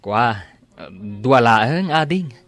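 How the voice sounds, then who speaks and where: A man's voice: medium pitch, lively intonation, extremely slow, a bit bright. A man with an Ilocano accent playing a smitten, bashful young suitor in an audio drama, hesitant and stammering. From Philippines.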